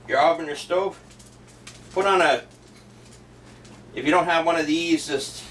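A man's voice: a little high, a little slow, fairly bright tone. Middle-aged male food vlogger, offhand and chatty, heard from across a noisy kitchen on a camera mic. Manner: chatty, offhand, rambling with restarts. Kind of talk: casual cooking and tasting vlog. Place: home kitchen, indoors. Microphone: camera mic set back in the room. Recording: distant camera mic with background noise.

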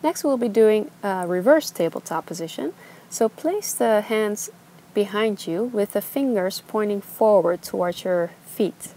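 A young woman teaching a gentle yoga class, giving slow, soothing instructions. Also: medium pitch. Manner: calm, slow, soothing cues. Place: outdoors on a beach.

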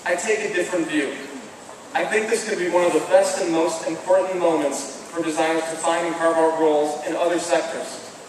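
A man giving a formal commencement address from a podium, speaking in a measured, earnest, deliberate way. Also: fairly high pitch, steady tone. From American Midwest. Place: large stone hall with flags, indoors. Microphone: podium microphone, filmed from far off. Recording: echoey hall from far off, audible background noise.

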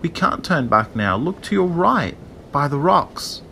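A man voicing a tiny talking seashell with a small high voice, sly and pleading as it urges and croons. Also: big pitch swings, a little slow, gravelly, sharp tone, slight background noise, full character voice. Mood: soft, urging. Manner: urging, crooning persuasion.

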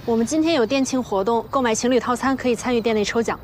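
An actress playing a polite, businesslike restaurant waitress in a TV drama. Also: female voice, fairly high pitch, fast, a little hiss or hum, lightly acted. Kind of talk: TV drama dialogue.

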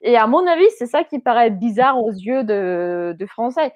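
A young woman guest speaking on a video call through wired earphones, animated and searching for words; her first language is Ukrainian. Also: a little high, normal speed, no echo. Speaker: guest composer. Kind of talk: casual video call discussion. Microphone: earphones with cable mic. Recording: dull lo-fi call audio.

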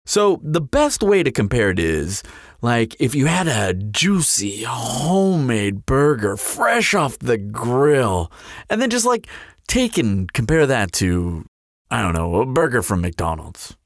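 A man's voice: mid-range voice, sing-song, slow, no echo, studio quality. A man playing a flirtatious, sardonic vampire in a roleplay audio drama, talking in a glib, teasing, playful drawl. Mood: glib, casual. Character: flirtatious, sardonic male vampire toying with a slayer.

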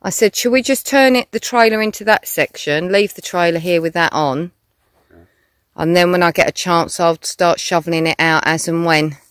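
A woman with a loud, shouty voice chatting casually to a handheld camera outdoors on rural land. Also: fairly low pitch, normal speed, clear, resonant tone, no echo, clean studio sound. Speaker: vlogger.

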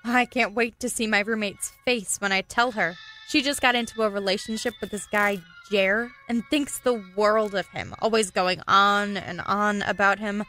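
A woman's voice: medium pitch, fairly even intonation, normal speed, few pauses, bright, forceful tone, soft background music, close to an everyday voice. An actress playing a playful young girlfriend in a radio play, with teasing, affectionate, conversational delivery. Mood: excited. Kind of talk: radio play acting, intimate dialogue.

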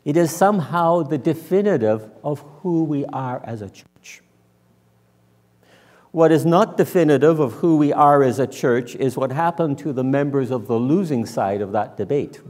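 An older man, a minister, gives a conversational sermon in measured, deliberate phrases inside a church. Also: mid-range voice, lively intonation, a little mellow. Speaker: minister preaching. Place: large church sanctuary, indoors. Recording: reverberant church hall.

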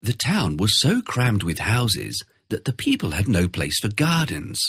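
A man narrating a children's fairy tale in an expressive, theatrical storytelling style. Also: on the low side, unhurried, slightly rough, dry recording, studio quality.

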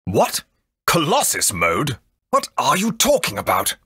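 A man's voice: medium pitch, huge pitch swings, measured pace, bright, forceful tone, slightly rough, no room sound, studio quality, heavily acted. A man playing a grave, authoritative leader of a fortress in a dramatic video game style. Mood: flustered disbelief. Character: grave, commanding fortress leader, easily flustered.

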